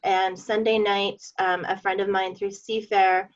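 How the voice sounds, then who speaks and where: A woman's voice: medium pitch, level tone, unhurried, muffled recording. A woman from Seattle with an American accent, talking casually and personally on a group call. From Seattle, USA.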